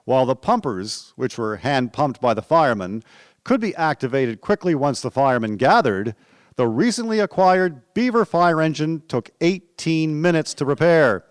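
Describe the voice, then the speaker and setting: A man narrating a historical radio drama in a measured storytelling manner. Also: a little high, sing-song, clean tone, no room sound, studio quality. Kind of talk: scripted documentary drama narration.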